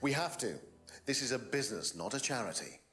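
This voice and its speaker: An evasive course leader being questioned in a game drama. He hedges and turns testy when pressed, his mid-pitched voice moving up and down freely. Faint music plays behind him. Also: sing-song, fairly quick, bright, forceful tone. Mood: defensive. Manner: defensive, hedging. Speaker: actor in a mystery game. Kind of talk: live-action game drama dialogue.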